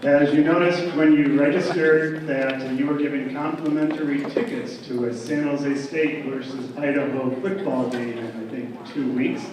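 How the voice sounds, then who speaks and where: A man's voice: mid-range voice, fairly even intonation, moderate pace, hardly any pauses, a crowd in the background. A man acting as emcee, making formal introductions of speakers at an event. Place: large echoing event hall with audience. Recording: very echoey hall, distant, audience sound.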